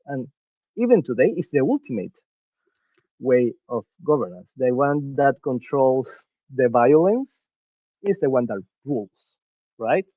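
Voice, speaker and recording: A man's voice: mid-range voice, no room sound. Man talking earnestly, dry dull sound. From Venezuela. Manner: earnest, searching for words, frequent restarts. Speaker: podcast guest. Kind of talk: reflective conversational talk.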